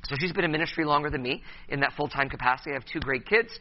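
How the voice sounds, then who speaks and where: A man's voice: a little high, very fast, slight background noise. Man, thirties, youth pastor, conference talk, hesitant fillers, playful, dull lo-fi sound. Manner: hesitant, many uh fillers and restarts, playful with audience.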